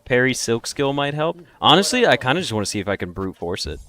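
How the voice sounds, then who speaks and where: A man's voice: mid-range voice, very animated, fast talker, sharp tone, slight background noise. A man giving let's play commentary, reacting and exclaiming as he gets hit in a boss fight. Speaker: gaming video host. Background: game music.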